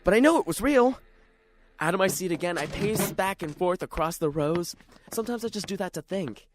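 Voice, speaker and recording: A performer voicing an excitable, jittery library regular who tells the story in dramatic, self-questioning first person, as in a radio drama. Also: medium pitch, fairly quick, noisy background, close to an everyday voice. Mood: brooding, tense. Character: jittery, excitable library regular narrating his own strange chase.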